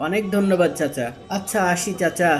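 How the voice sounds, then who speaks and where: A man voicing a friendly, polite younger customer in a cartoon story, chatty and brisk. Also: high voice, faint music behind, natural voice.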